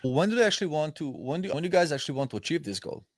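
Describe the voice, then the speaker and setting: A man's voice: fairly high pitch, expressive, very fast, no room sound, studio quality. A man hosting an interview, asking casual, rambling questions that he rephrases as he goes. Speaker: interviewer, host.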